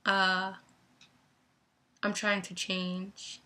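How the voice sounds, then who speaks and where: A young woman in a reflective personal vlog, speaking thoughtfully and deliberately about faith, her voice going dry and raspy. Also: medium pitch, monotone, sharp tone. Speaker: vlogger and author.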